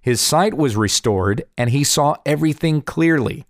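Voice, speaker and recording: A man in his forties, slightly hoarse from allergies, earnestly explaining Bible passages and answering viewer questions. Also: a little high, expressive, normal speed, dry recording, studio quality. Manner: earnest, explanatory, reads scripture aloud. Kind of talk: casual Bible teaching video. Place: home in Kansas.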